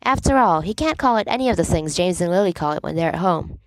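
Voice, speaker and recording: A woman's voice: on the low side, animated, quick pace, no room sound, poor audio. A woman reading fiction aloud as an audiobook narrator, with a wry, emphatic comic delivery. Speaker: volunteer audiobook reader of fan fiction. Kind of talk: expressive scripted narration of a story.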